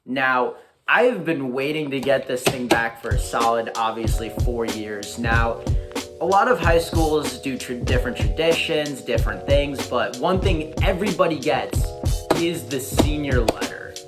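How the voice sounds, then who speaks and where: Young adult American male vlogger, informal and halting delivery, mid-range voice, clear music bed underneath. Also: medium pitch. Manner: halting, informal, thinks aloud. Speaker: YouTuber. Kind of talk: casual vlog chat to viewers. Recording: music playing behind the voice. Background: background beat music.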